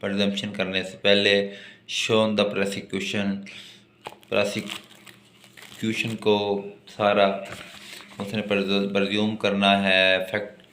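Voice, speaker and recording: A man with a Pakistani accent giving a law lecture, reading out legal text deliberately and then explaining it. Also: on the low side, monotone, fairly bright tone, slight background noise. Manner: alternates slow deliberate reading with plainer explanation. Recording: echoey, reverberant room sound.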